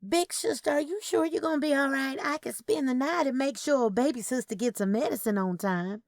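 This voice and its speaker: A woman's voice: high voice, brisk, dry recording, clean studio sound, pitched above the performer's own voice. A woman voicing a caring, practical Southern woman who fusses over elderly relatives, solicitous and down-to-earth, in an audio story. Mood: concerned.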